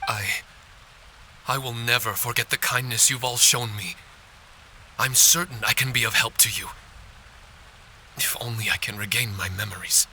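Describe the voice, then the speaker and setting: A man voicing a gentle, polite spirit warrior in a video game, earnest and a little hesitant. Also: medium pitch, moderate pace, long pauses, slight background noise, low-quality recording. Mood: grateful.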